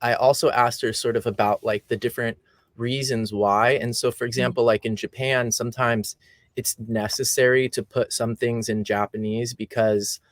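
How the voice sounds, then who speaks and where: Easygoing American male host, mid-pitched, dry uncolored audio. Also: normal speed, dry recording. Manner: relaxed, conversational, frequent ums. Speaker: podcast host. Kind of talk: casual interview.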